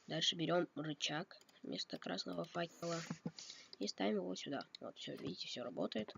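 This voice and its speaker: Gravelly man rambling through a game tutorial. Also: fairly high pitch, lively intonation, normal speed, fairly bright tone. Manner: rambling, thinks aloud and corrects himself. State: a bit ill, coughing now and then. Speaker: game tutorial video maker. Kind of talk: casual screen-recorded game tutorial. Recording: dull lo-fi mic with noise. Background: keyboard and mouse clicks.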